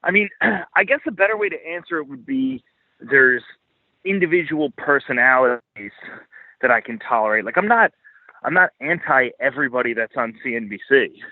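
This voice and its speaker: A man's voice: medium pitch, expressive, moderate pace, dry recording, narrow, tinny audio. A man speaking as a podcast guest, giving casual, opinionated financial commentary in blunt, informal language with swearing.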